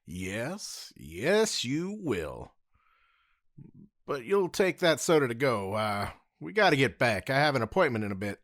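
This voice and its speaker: A man's voice: mid-range voice, sing-song, a bit bright. A man as a sarcastic young masked hero. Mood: joking. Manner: sarcastic, casual, quick to swear. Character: cocky young male masked rider hero. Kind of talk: fan audio drama.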